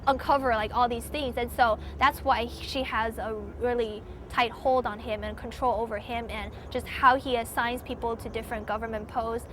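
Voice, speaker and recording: Young woman from Taiwan, casual interviewee, slightly high voice, loose and chatty with lots of "like", a light hiss of noise behind her. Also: fairly even intonation, normal speed, few pauses, a bit bright. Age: young adult. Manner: chatty, many "like" fillers, hedging.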